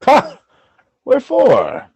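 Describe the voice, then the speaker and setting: Man acting proud, jealous general, slow and sweeping. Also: extremely high pitch, wildly swooping pitch, darkish tone, breathy edge, dry recording, highs cut off, full character voice. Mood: explosive demanding. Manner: grand, measured declamation swelling into outbursts. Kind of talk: Shakespearean radio play acting, verse delivery.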